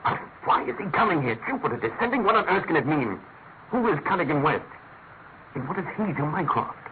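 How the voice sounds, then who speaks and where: A brilliant, dry-witted gentleman detective, musing aloud in theatrical radio drama fashion. His voice sits slightly high and moves quickly with animated swings, heard through a tinny, hissy old radio sound. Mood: keenly inquisitive. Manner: articulate, declamatory, musing. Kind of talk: classic British radio drama acting. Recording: tinny narrow-band radio recording with hiss.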